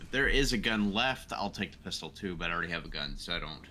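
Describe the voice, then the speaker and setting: Deep, bright-voiced male tabletop player. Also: expressive, fast. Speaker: player in a tabletop roleplaying game. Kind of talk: casual in-character table talk. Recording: background noise, room ambience.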